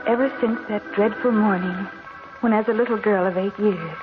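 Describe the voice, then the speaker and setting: A woman acts a devoted, anxious young wife in a 1940s radio melodrama. Her speech is formal and earnest at a medium pitch. Music plays behind the muffled recording. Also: fairly even intonation, normal speed, lightly acted. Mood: grave, sorrowful. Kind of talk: 1940s radio drama acting.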